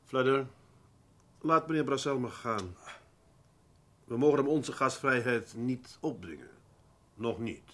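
An actor playing a calm senior police detective in a radio play, measured, authoritative and dryly courteous. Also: male voice, medium pitch.

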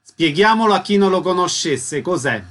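A man's voice: sits high, lively intonation, normal speed, a bit bright. A man working as a radio host, presenting events and putting concise questions to a guest.

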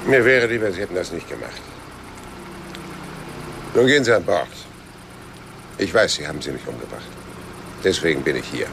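An actor playing a world-weary, wry private detective in a German crime radio play, laconic and sardonic, with a deep, gravelly, husky voice. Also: male voice, lively intonation, normal speed, pauses often, background noise. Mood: earnest. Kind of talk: German radio play acting and first-person crime narration.

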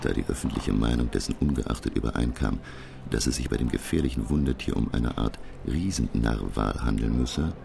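Very deep, gravelly professor narrator. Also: male voice, fairly quick, noisy background, exaggerated voice. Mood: measured, suspenseful. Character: learned, self-assured French professor recounting his expedition. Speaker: narrator and lead character. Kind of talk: dramatic scripted narration. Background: music.

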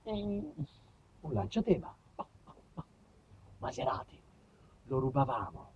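A man's voice: medium pitch, big pitch swings, slow, a little mellow, dull audio, a little hiss or hum. A man delivering a theatrical storytelling monologue, emphatic and declamatory, repeating phrases for effect. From southern Italy. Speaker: actor-storyteller.

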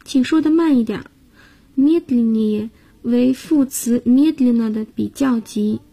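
A woman's voice: fairly high pitch, slow, gravelly, dark tone, low-quality recording. A woman hosting a radio language lesson, patiently explaining grammar and guiding listeners step by step. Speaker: language teacher and host.

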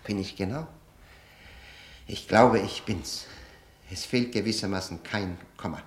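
An actor in a German radio play playing a bitter, ironic, educated convict who speaks deliberately and pauses for effect. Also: male voice, fairly low pitch, reverberant, lightly acted. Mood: sardonic.

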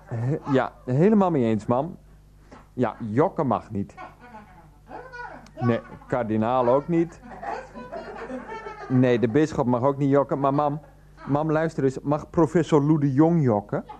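A man's voice: medium pitch, measured pace, long pauses, dark tone, muffled recording, close to an everyday voice. An actor playing an earnest, flustered man in a radio play, pleading and placating in hesitant, repetitive phrases. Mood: earnest, coaxing. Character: earnest, flustered adult son and partner, placatingly arguing. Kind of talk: 1990s radio play acting.

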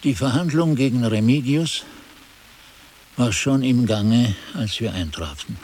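An actor playing a monk chronicler, narrating his memories in a grave, measured way for a German radio drama. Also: medium pitch, animated, a little mellow, close to an everyday voice.

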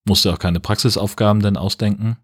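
A man's voice: fairly low pitch, fairly even intonation, fast talker, slightly rough, no room sound. A man co-hosting a podcast, chatting casually about films with wry, ironic agreement. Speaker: podcast co-host. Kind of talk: casual film review chat.

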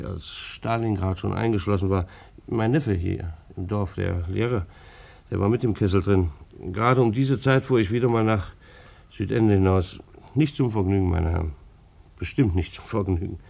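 An actor playing an indignant, dutiful bailiff in a German radio play, halting and easily affronted. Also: male voice, lowish voice, gravelly, darkish tone. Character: indignant, dutiful male German bailiff, defensive civil servant. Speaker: bailiff being questioned.

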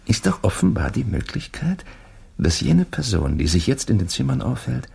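An actor playing a keen, self-assured male detective in a German radio drama, deliberate and musing as he questions and deduces. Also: medium pitch, very animated, creaky, mellow, slightly rough, full character voice.

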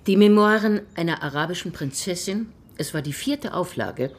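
Radio drama scholar: impatient, proud, curt, precise, lower register, very animated intonation. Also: female voice, moderate pace. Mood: proud, explaining. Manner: curt, precise, academic phrasing. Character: brusque, busy female scholar, impatient and proud. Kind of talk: German radio drama dialogue.

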